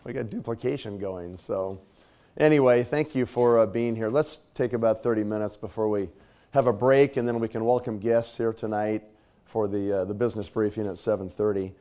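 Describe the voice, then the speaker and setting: A man with a slightly scratchy voice, clearing his throat now and then, gives a conversational leadership talk to a live audience. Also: fairly low pitch, normal speed, mellow. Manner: conversational, casual fillers and tag questions. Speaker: speaker at a leadership training.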